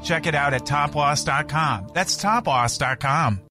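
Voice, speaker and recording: A man's voice: fairly high pitch, measured pace, music in the background. Friendly man reading a radio ad. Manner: friendly selling tone. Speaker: commercial announcer. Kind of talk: scripted ad read.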